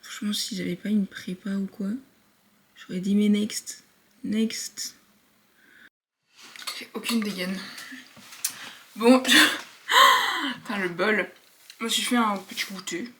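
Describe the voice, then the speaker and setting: A woman's voice: mid-range voice, lively intonation, slow, long pauses, sharp tone. A woman in her twenties chatting casually to her viewers in a slangy vlog style. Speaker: vlogger, university student. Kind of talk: casual vlog chat to camera.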